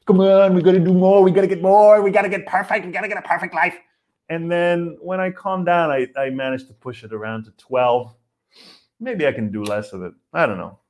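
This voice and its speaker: A man, a comedian hosting a casual podcast, rambling and animated with many fillers while he reads viewer comments. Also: on the high side. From Israel. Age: 40s.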